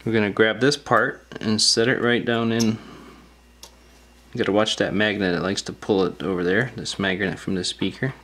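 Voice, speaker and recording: Low-voiced man giving casual repair instructions. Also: fairly even intonation, normal speed, a bit bright. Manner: plain-spoken, informal, repetitive phrasing. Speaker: repair tutorial host. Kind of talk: casual step-by-step hands-on instruction.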